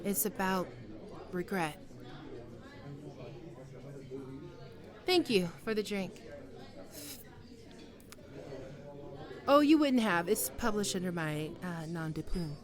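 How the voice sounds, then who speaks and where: A woman acts out a wistful, proud woman reunited with an old lover in an intimate audio drama. Her medium-pitched voice moves slowly, with hesitant gaps and sighs. She sounds flustered yet guarded. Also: lively intonation, natural voice. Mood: hesitant, nostalgic. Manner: hesitant, halting, with sighs and trailing off. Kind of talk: intimate ASMR roleplay acting.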